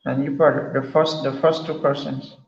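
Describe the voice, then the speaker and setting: A man's voice: medium pitch, little pitch movement, normal speed, reverberant, dull audio, noisy background. Chatty Cameroonian man on a call. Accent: Cameroonian English. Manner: chatty, asks guests questions, repeats himself. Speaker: host of an online health forum. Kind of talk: casual group call chat.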